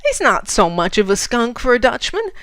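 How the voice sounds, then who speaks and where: A woman voicing a rowdy, amiable Wild West outlaw, yelling in a folksy way with an American Western accent. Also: fairly high pitch, very animated, moderate pace, clean tone, no room sound, pitched above the performer's own voice. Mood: amiable, amused.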